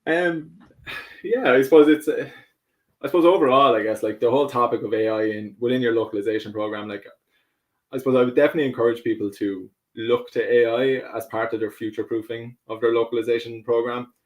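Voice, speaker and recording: A man's voice: medium pitch, fairly even intonation, quick pace. A man from Ireland with an Irish accent, answering interview questions casually, hesitant and full of fillers, laughing easily. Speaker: guest.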